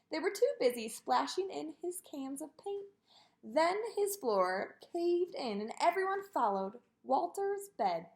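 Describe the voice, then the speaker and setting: A woman reading a children's picture book aloud in an expressive way, with sing-song delivery on repeated lists. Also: high-pitched. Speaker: storybook reader.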